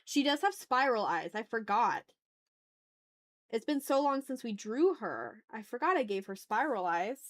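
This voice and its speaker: Higher-voiced woman chatting on an art stream. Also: big pitch swings, a little slow. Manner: playful, talks to chat and thinks aloud. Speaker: art livestream host. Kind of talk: casual livestream chat while drawing.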